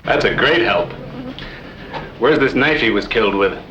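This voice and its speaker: A man's voice: mid-range voice, animated, normal speed, sharp tone. An actor playing an irritable, sarcastic frontier lawman, scolding and dismissive, in an old-time radio western. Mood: dry, weary. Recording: muffled, echoey, noisy old radio recording.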